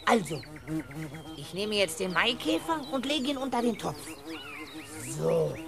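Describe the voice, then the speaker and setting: A man's voice: very high voice, full character voice. An actor playing a boastful, eager little boy who announces grandly and bossily in a 1970s children's radio play.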